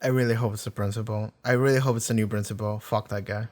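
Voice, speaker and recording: A man's voice: fairly low pitch, steady tone, quick pace. A young man giving casual, blunt, sweary running commentary as a reaction video host.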